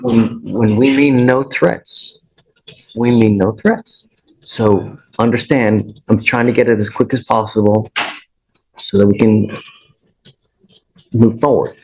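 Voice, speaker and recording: Low, husky-voiced male judge. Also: fairly even intonation, slow, long pauses, darkish tone, poor audio. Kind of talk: courtroom questioning from the bench. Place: indoor courtroom. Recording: courtroom audio.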